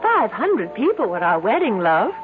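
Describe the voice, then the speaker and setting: Woman acting offhand affectionate young wife, evasive, lively swooping pitch, vintage radio drama, thin narrow sound. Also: normal speed, over music, natural voice. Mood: breezy, evasive. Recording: tinny, narrow old radio recording, faint noise.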